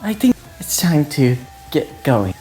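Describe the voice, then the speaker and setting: A haughty, elegant rich French girl, played by a woman narrator, talks down to others with prim politeness. The delivery is soft and lively in pitch, with faint music behind. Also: measured pace. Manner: prim and condescending, drops French phrases.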